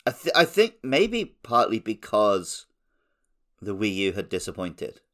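Male podcast co-host, a bit on the low side, relaxed casual conversation. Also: a little slow. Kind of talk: casual chat.

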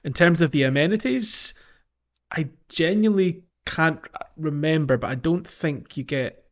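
A man's voice: fairly high pitch, a little slow. Man, slightly dull lo-fi recording. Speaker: podcast co-host. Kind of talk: casual video game discussion.

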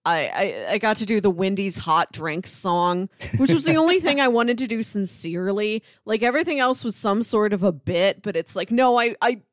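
A woman's voice: mid-range voice, lively intonation, normal speed, hardly any pauses, no room sound, studio quality. A woman co-hosting a movie podcast with casual, playful, mock-earnest chat. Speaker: podcast co-host.

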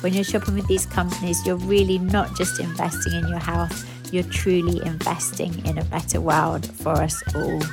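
A woman's voice: fairly low pitch, huge pitch swings, moderate pace, hardly any pauses. A woman host giving an earnest, persuasive introduction to her series. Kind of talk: show introduction. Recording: music under the voice.

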